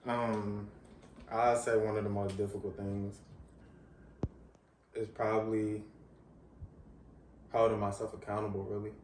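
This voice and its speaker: Young man hosting casual chat, low steady voice, probing questions, echoey room. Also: unhurried, pauses often. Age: young adult. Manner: probing, encouraging follow-up questions. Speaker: host asking questions. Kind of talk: casual interview. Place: living room, on a couch. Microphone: far camera. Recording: roomy sound from far off, faint hiss.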